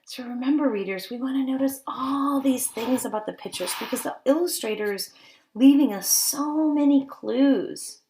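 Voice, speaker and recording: A woman's voice: sits high, moderate pace, mellow, low-quality recording. A woman reading a picture book aloud to young children as a teacher, stopping to ask simple questions and make exclaiming asides.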